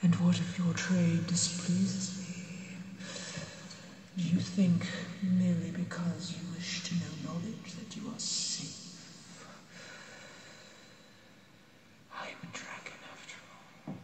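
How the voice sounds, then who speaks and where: A woman voicing an ancient, proud female dragon, gentle but menacing, in a breathy, deliberate ASMR roleplay monologue. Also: on the low side, flat delivery, noisy background, natural voice. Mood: quietly menacing. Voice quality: breathy, whispery. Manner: slow, deliberate, imperious. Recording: cavernous long reverb, distant voice.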